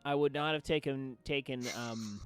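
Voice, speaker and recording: A man streaming a game and chatting casually, telling stories with theatrical, drawn-out emphasis. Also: mid-range voice, smooth, soft background music. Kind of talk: casual chat, storytelling.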